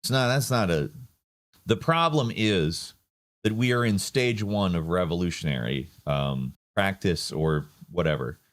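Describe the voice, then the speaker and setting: A man's voice: low voice, expressive, unhurried. A man giving casual political commentary, sardonic and rambling. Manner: sardonic, rambling with fillers and restarts.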